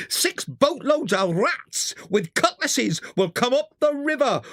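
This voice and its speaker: A reader voicing a cheery, excitable male mole in a storybook. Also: high-pitched, dry recording, studio quality, pitched above the performer's own voice. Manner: exclamatory, eager storytelling.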